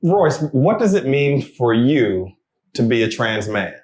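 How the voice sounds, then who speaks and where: A man's voice: mid-range voice, sing-song, slow pace, a little mellow. Earnest male host in a roomy space. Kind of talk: scripted intro, then moderating a panel. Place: panel discussion setting. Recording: roomy echo.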